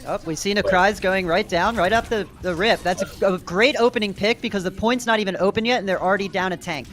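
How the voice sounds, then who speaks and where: A man doing energetic play-by-play commentary for an online esports tournament. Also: high voice, fast. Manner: energetic, rises to excited shouts in big plays. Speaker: play-by-play caster.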